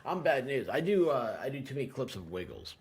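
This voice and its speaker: A man's voice: medium pitch, very animated, fairly quick, slightly rough. A man chatting casually as a livestream guest, rambling and self-deprecating. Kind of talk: casual chat.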